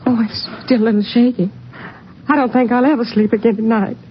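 An actress playing a jittery, scared young American wife, shaky-voiced, in a 1950s western radio drama. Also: female voice, on the high side, steady tone, a little fast, natural voice. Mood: frightened. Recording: muffled, faintly noisy old radio broadcast.

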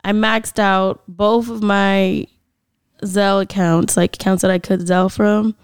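A woman's voice: medium pitch, little pitch movement, measured pace, clear, resonant tone, no echo, studio quality. A young woman co-hosting a podcast in a chatty, laughing way, swearing freely as she talks. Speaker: podcast co-host. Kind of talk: casual podcast chat.

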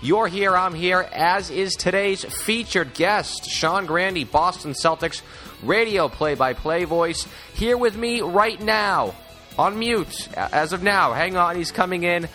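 A man's voice: sits high, slow pace, pushed and bright, soft background music. A man hosting a sports podcast, with an emphatic, salesy radio-style delivery. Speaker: podcast host.

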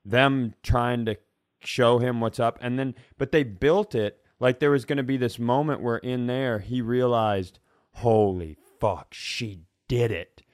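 A man's voice: medium pitch, steady tone, measured pace, dry recording, studio quality. A man telling stories casually on a comedy podcast, rambling and self-mocking, with loose, sweary delivery.

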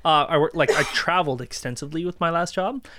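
A man's voice: a little high, quick pace, noisy background. A young Canadian man chatting casually and telling stories in a rambling, colloquial way. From Ontario, Canada. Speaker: podcast guest and co-worker of the host. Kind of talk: casual chat, storytelling.

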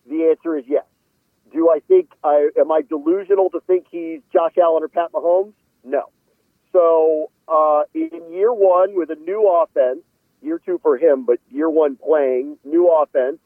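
A man's voice: fairly high pitch, moderate pace, no echo. A middle-aged man, a sports reporter, giving casual conversational analysis as a guest on a show. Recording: tinny narrow phone-like line.

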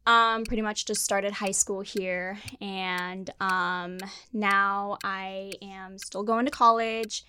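Young woman from south africa, bright crisp voice, chill and unhurried. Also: medium pitch, a little hiss or hum. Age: young adult. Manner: relaxed, unhurried, many ums. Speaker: dating game contestant.

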